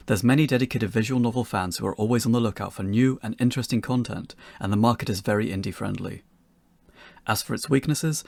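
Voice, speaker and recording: A man's voice: fairly low pitch, creaky, no echo. A man co-presenting a scripted, pre-recorded instructional talk as an amateur developer.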